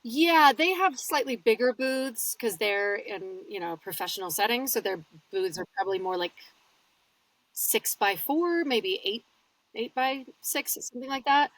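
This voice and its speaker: A woman's voice: a little slow, dry recording, distant mic, poor audio. A woman narrator talks in a casual interview from a tiny padded booth at home. Her storytelling rises and falls a lot. The sound is dead dry and set slightly back from the microphone. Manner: animated storyteller with wide pitch swings. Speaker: guest audiobook narrator.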